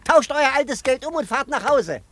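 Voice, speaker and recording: A man's voice: very high voice, animated, normal speed, a bit bright, slight background noise. An actor playing a mocking village barber who cackles as he taunts newcomers in a radio play. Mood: gleeful mockery. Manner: mocking, cackling between phrases. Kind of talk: German radio play acting.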